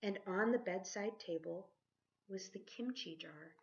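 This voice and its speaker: A woman reading a children's picture book aloud expressively, like a teacher, pausing to ask her listeners questions. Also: mid-range voice, a little mellow. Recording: dull lo-fi sound.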